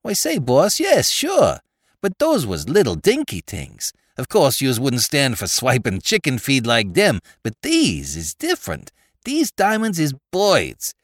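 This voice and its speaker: A man voicing an excitable, slow-witted male crook from the Bowery who talks in tough street slang. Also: fairly high pitch, no echo, clean studio sound, pitched above the performer's own voice. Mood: excited.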